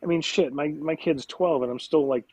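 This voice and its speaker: A man's voice: fairly high pitch, normal speed, darkish tone, husky, dry recording. A man co-hosting a comedy movie-review podcast who argues his points, cracks jokes and swears. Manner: wisecracking, argumentative, swears. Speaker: podcast co-host.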